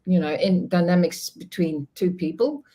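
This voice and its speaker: A woman from the Netherlands whose first language is Dutch speaks in a lowish voice. She is middle-aged and talks on a video call. She is reflective and chatty, laughs often and restarts her sentences. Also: moderate pace, warm tone. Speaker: guest. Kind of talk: casual interview chat.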